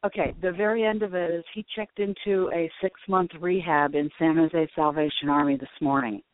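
A woman's voice: fairly low pitch, steady tone, moderate pace, no room sound. Woman caller on a tinny phone line. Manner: chatty and grateful, laughs often.

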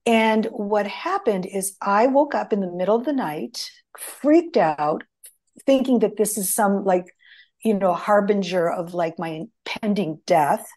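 Middle-aged woman, greek first language, slow unhurried talk, lots of you knows and restarts. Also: medium pitch, expressive, darkish tone. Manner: rambling, many restarts and fillers. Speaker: guest. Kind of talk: conversational storytelling.

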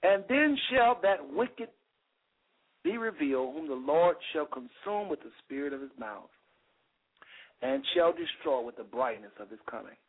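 A man with a slightly raspy voice hosting a radio show, preaching fervently with rhetorical repetition and reading scripture aloud. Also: a little high, very animated, measured pace. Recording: phone-like radio call-in sound.

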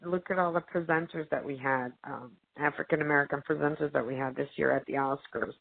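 Lowish-voiced woman, phone-line sound. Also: steady tone, brisk, creaky. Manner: earnest, emphatic, many fillers. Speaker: actress and guest. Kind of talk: casual discussion. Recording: narrow phone-like audio.

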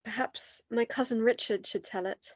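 A woman playing an earnest, worried, caring gentlewoman in a radio play. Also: fairly high pitch, fairly even intonation, moderate pace, no room sound, lightly acted.

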